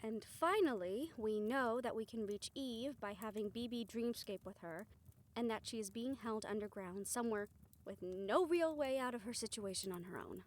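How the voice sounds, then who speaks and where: A woman's voice: on the high side, moderate pace, natural voice. A woman playing a caring, teasing and driven strategist of a supernatural team in a conversational audio roleplay. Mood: focused, resolute. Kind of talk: scripted audio roleplay acting.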